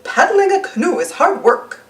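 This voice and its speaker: A woman's voice: extremely high pitch, very animated, moderate pace, roomy sound, far from the mic, voice pushed up. A woman voicing a hesitant father pig in a children's storybook. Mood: doubtful. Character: hesitant, puffing father pig, adult male.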